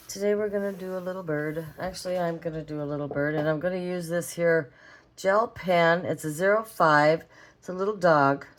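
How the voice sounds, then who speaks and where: A hoarse, raspy woman with a Minnesotan accent, chatting casually as she draws in an art tutorial vlog. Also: lowish voice, normal speed. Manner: chatty, rambling, talks while drawing. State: hoarse from a cold. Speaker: art vlogger. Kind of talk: relaxed drawing tutorial chat.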